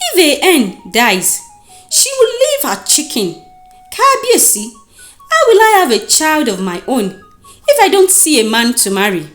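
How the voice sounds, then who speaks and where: A woman's voice: high voice, huge pitch swings, unhurried, a bit bright, faint music behind, full character voice. A woman voicing a proud, vain young village beauty in a dramatic, defiant folktale style. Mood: enraged. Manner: haughty, dramatic.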